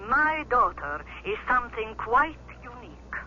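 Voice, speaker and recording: A woman's voice: on the high side, very animated, a little slow, pushed and bright, dull audio, heavily acted. An actress playing an insistent, secretive Russian birth mother in a radio drama, speaking formally with a Russian accent. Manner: formal, commanding diction. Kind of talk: 1970s radio drama acting. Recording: band-limited, noisy 1970s radio recording.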